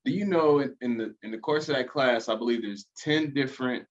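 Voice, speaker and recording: A man with an ordinary mid-range voice hosts a podcast in a relaxed, conversational way, encouraging his guest and telling his own stories. The recording sounds slightly dull and muffled. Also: mid-range voice, brisk. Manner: conversational, encouraging, laughs along. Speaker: podcast host. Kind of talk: casual chat.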